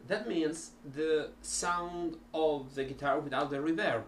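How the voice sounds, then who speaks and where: A middle-aged man with an Italian accent, talking casually to a webcam about learning languages, slow and full of ums and restarts. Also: a little high, a little hiss or hum. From Italy. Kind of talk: casual talk to camera. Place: cluttered home music room.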